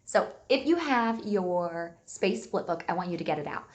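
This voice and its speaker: A woman teacher reading aloud and giving a simple lesson to young children, enunciating key words deliberately. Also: on the low side, animated. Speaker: early elementary school teacher. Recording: echoey room sound.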